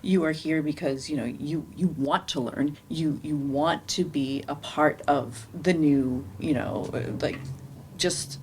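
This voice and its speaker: A low-voiced woman talks on an informal theater panel. She speaks haltingly but with emphasis, repeating key words. There is clear room noise behind her. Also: darkish tone. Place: indoor library event room.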